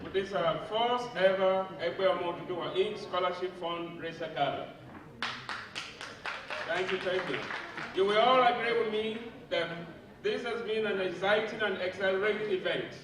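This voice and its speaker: A man, president of an association, giving a formal, measured speech of thanks at a gala in a large hall. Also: sits high, noisy background. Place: large event clubhouse hall. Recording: echoey hall from far off, crowd. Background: audience crowd.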